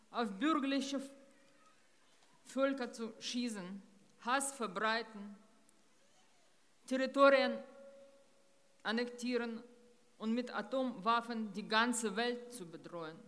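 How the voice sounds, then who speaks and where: Slow, grave woman giving a speech. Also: on the high side, a bit bright, in a large hall. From Ukraine. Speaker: rally speaker. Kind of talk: public speech. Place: outdoors in a town centre.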